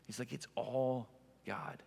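Male pastor, long hall reverb, medium pitch, conversational sermon, informal, emphatic, rhetorical questions. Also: fairly even intonation, takes it slowly, creaky, darkish tone, breathy edge. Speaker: pastor preaching to a congregation. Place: large indoor church space with long reverb.

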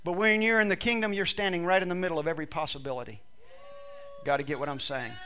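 A man's voice: fairly high pitch, fairly even intonation, fast talker, pushed and bright, slight background noise. A man preaching a sermon to a congregation, reading scripture with emphatic, repetitive phrasing while losing his voice. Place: church service with a congregation.

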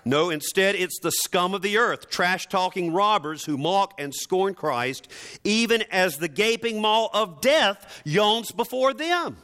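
A man's voice: sits high, lively intonation, sharp tone, clean tone. A man preaching a dramatic sermon with emphatic, theatrical, rhetorical delivery and sardonic asides. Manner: emphatic, theatrical delivery with deliberate repetition for effect.

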